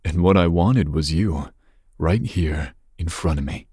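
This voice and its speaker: Man playing a deep, husky, seductive mafia heir. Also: a little mellow, no room sound, clean studio sound, full character voice. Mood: taunting, intrigued. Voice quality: breathy, husky low voice. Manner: smooth menace, slow teasing pauses. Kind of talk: ASMR roleplay, intimate second-person monologue.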